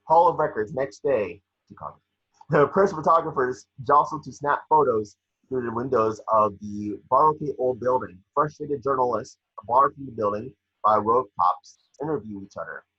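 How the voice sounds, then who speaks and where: A man with a husky voice reading scripted scene narration at an online table read. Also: medium pitch, moderate pace, a bit bright, highs cut off. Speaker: scene description reader.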